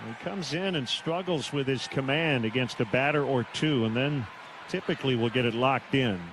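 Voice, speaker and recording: An American man calling live baseball on the radio in short, clipped phrases with pauses. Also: mid-range voice. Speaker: baseball play-by-play announcer. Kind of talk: live radio play-by-play commentary. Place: stadium broadcast booth. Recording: noisy live ballpark broadcast.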